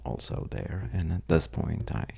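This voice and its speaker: A very low, heavily creaky male voice, a man acting it out, playing a charming troublemaker at a bar in an audio roleplay. He talks slowly and intimately, with wry, teasing pauses, as if spinning a tale for one listener. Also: flat delivery, music in the background, heavily acted. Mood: amused storytelling. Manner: wry, teasing, hesitant storyteller with dramatic pauses. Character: well-dressed roguish stranger at a bar, wry trouble-seeking charmer.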